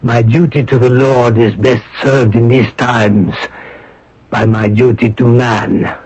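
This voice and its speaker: An actor playing a kindly, grave wartime priest in a radio drama, speaking in a measured, gentle, formal way on an old broadcast recording. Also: male voice, medium pitch, little pitch movement, slightly rough, lo-fi sound. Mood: dignified, resolute.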